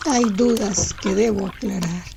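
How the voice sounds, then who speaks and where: A woman playing a kindly elderly grandmother and seer in a radio drama, gentle and unhurried. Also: mid-range voice, creaky, background noise. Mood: pensive.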